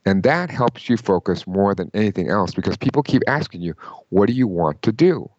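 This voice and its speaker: Fast-talking deep-voiced man giving advice. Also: no room sound, muffled recording. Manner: emphatic, lively, quotes people in mock voices. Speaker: career advice guest. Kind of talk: conversational interview.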